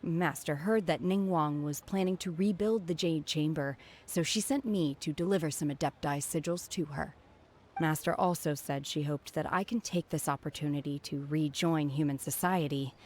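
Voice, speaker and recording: An actress playing a reserved, earnest young woman in a video game, speaking calmly and formally. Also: low-pitched, normal speed, a little hiss or hum, lightly acted.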